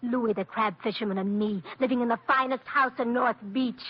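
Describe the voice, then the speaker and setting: A woman's voice: fairly high pitch, fairly even intonation, fairly quick, natural voice. An actress playing a passionate, scornful young Italian-American woman spurned in love, in theatrical 1940s radio drama style. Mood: agitated, mocking. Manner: theatrical, emotional, repeats words for emphasis. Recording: dull old radio drama recording.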